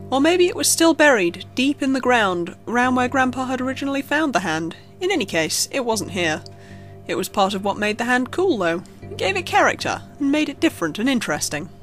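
Woman reading a creepypasta, expressive storyteller, music playing behind her. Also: medium pitch. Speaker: creepypasta narrator. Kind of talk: scripted horror story narration. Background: background music.

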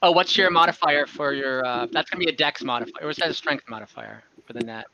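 A man's voice: medium pitch, fast, creaky, pushed and bright. A young man on a headset, acting as dungeon master and casually checking rules on a video call. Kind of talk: running an online tabletop game. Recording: dull, dry video-call audio.

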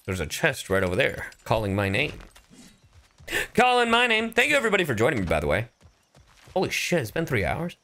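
A man's voice: mid-range voice, fairly quick, long pauses. A man doing live gameplay commentary as a streamer, playful and freely swearing. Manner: animated, playful, profane. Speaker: VTuber game streamer.

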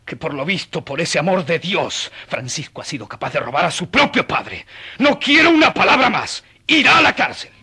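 A man playing a stern, angry, unforgiving merchant father in an audio drama, scornful and domineering, with a raspy voice. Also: sits high, very animated, moderate pace, bright, forceful tone, full character voice. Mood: furious.

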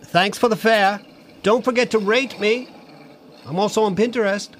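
An actor playing a meek, loyal assistant and driver in a scripted comedy podcast, with an earnest, mild manner. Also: male voice, high voice, normal speed, fairly bright tone, slight background noise. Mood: cheery. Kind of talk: scripted audio comedy acting.

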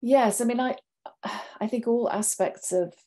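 A woman in her fifties speaking as a panelist in a deliberate, reflective way, pausing between phrases. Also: fairly high pitch, warm tone. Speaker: therapist, panelist. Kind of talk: measured reflective talk. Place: home office, indoors. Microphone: wired earphones, video call.

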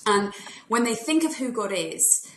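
A woman's voice: fairly high pitch, very animated, unhurried, a bit bright. A young adult woman, a youth worker, speaking earnestly and thoughtfully on a video call panel. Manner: earnest, thoughtful, recounts conversations. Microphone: video call webcam. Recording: echoey room with faint noise.

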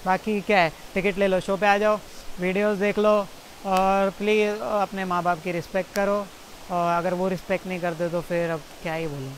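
A man's voice: high-pitched, flat delivery, normal speed, slight background noise. A young Indian man in his twenties, a stand-up comedian rambling awkwardly to camera with self-mocking swearing, recorded outdoors by a jungle stream on a distant camera mic. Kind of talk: rambling outtakes to camera, then stand-up set.

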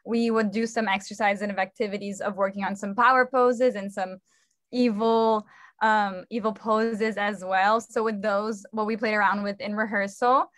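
A woman's voice: medium pitch, steady tone, normal speed, fairly bright tone, no room sound. A young adult woman speaking conversationally as a theatre director on a video call. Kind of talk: conversational explanation on a panel. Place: indoor room on a video call. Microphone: video call microphone.

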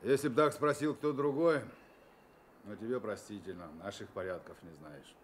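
An actor playing a gruff, curt, threatening police sergeant in a radio play. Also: male voice, on the low side, moderate pace, lightly acted. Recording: background noise under the voice.

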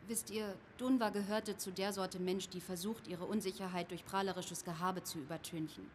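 An actress playing a calm, thoughtful woman reflecting on a fallen comrade, in a game. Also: on the low side, moderate pace, slight background noise, close to an everyday voice. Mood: reflective. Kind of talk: game voice acting.

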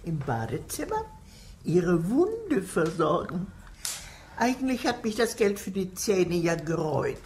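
A sinister old aristocratic lady, speaks slowly and deliberately in a lowish voice. She relishes every grim detail like someone in command, in a radio thriller with faint background noise. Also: big pitch swings, darkish tone. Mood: gleeful, triumphant. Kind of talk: German radio thriller acting.

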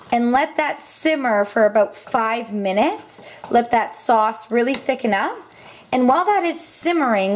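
A young woman casually walking through a home recipe at her stove, talking with lots of ums and quick self-corrections. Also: a little high, darkish tone, slight background noise. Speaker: cooking vlogger. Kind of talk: casual cooking demonstration. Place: home kitchen. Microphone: camera mic, filming over the stove. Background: clinking pots and utensils.

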